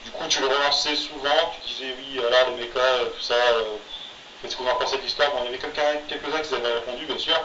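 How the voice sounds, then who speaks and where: A man co-hosting a casual podcast who tells stories haltingly, with many fillers. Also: medium pitch, fairly even intonation, pushed and bright, a little hiss or hum. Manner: halting, filler-heavy storytelling. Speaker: podcast co-host, manga artist. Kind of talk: casual chat. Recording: roomy, distant, dull lo-fi sound.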